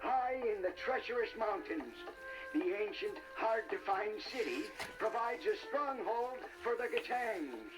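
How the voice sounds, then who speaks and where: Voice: mid-range voice, sing-song, unhurried, poor audio. An actor voicing a solemn, commanding mystical wizard in a children's storybook drama, speaking in a theatrical, portentous way. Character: mystical, solemn wizard medium conducting a seance. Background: music.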